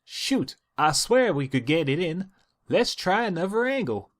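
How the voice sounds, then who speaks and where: A man's voice: high-pitched, big pitch swings, unhurried, voice pushed up. A man voicing a hard-working young female farm pony with a folksy Southern American drawl, bossy and familiar. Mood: urging, strained.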